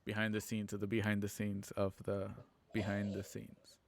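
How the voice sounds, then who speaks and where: A man hosting an online show, chatting casually and haltingly on a livestream about plans for it. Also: lowish voice, monotone, vocal fry, no echo. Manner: halting, informal. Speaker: host and organizer of an online radio drama show. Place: at home on a multistream video call.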